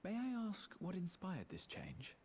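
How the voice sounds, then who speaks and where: Urbane, very animated male adventurer. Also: high voice, a little slow, slight background noise, full character voice. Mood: curious. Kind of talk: video game cutscene acting.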